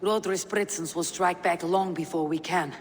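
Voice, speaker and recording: An actor playing a level-headed, resolute Viking war leader in a video game, measured and determined. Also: male voice, high-pitched, fairly even intonation, big-room sound, slight background noise. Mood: steady resolve. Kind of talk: dramatic video game acting.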